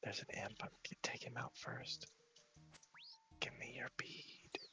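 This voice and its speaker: A man hosting an ASMR game walkthrough, speaking softly and often dropping into a half-whisper. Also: measured pace. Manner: soft-spoken, half-whispered. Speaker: host of a gaming series. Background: music.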